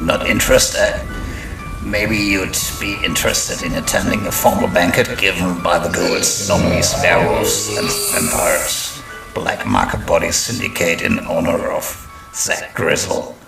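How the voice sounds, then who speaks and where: An actor playing a ghoulish, gleeful horror storyteller in an audio drama, theatrical, drawling and taunting. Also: male voice, sits low, steady tone, unhurried, gravelly. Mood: sardonic. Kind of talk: horror anthology narration. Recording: roomy echo, distant, music underneath.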